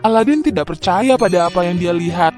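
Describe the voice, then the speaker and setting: High-pitched man narrating a fairy tale. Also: expressive, fairly quick, darkish tone, faint music behind. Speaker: storyteller.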